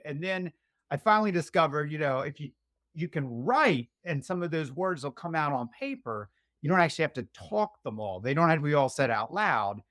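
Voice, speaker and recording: A man's voice: on the high side, fairly bright tone, dry recording, studio quality. Rambling, joking man on a video call. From Shenandoah Valley, Virginia, US. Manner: rambling, self-deprecating, quick humor. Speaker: guest author. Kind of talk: casual interview chat.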